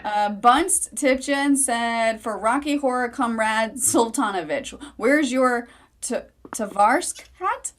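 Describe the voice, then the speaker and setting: A woman's voice: on the high side, slow. A woman co-hosting over a video call, cheerfully reading out viewer messages. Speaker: co-host reading viewer tips.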